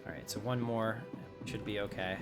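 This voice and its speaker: A man's voice: on the low side, steady tone, moderate pace. A man giving casual, rambling live commentary as he streams a game, talking conversationally to his viewers. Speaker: game streamer, channel host. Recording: loud music and game sound behind. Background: loud game music and effects.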